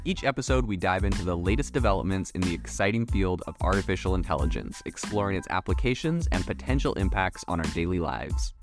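A man's voice: sits low, lively intonation, fast, few pauses, loud music behind the voice. A man hosting a solo tech news podcast, talking in an enthusiastic, conversational way. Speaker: podcast host.

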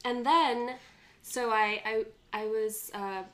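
A woman in her thirties from California, a fashion designer guest on a casual podcast, self-deprecating and quick to laugh. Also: a little high, steady tone, a bit bright. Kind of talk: casual interview chat.

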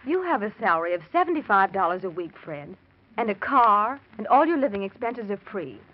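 Cold, tight-fisted society wife, clipped scolding, very animated pitch, muffled old radio. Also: female voice, brisk. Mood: cold, dismissive. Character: stingy, haughty rich wife, cold and dismissive. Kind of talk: 1940s radio drama acting. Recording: muffled 1940s radio recording.

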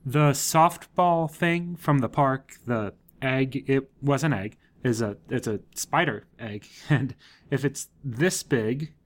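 Man casually role-playing, mid-pitched. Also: takes it slowly. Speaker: player on tabletop show. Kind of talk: casual improvised role-play.

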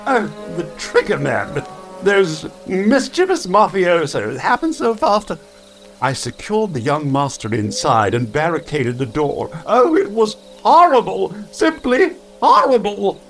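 Fussy, theatrical robot butler. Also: male voice, high-pitched, wildly swooping pitch, measured pace, faint music behind, heavily acted. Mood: horrified. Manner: formal, fussy, melodramatic. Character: prim, devoted male robot butler, theatrically fretful. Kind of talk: audio drama acting.

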